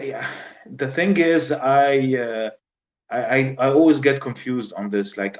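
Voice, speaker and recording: A man in his thirties from Lebanon talks through his answers at an easy, halting pace, stacking fillers and repeating words as he searches for the right one. His voice sits at an ordinary pitch, and the recording is slightly muffled and lo-fi. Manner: relaxed, hesitant, many fillers and repeated words. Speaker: guest. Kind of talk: casual interview chat. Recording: slightly dull lo-fi audio.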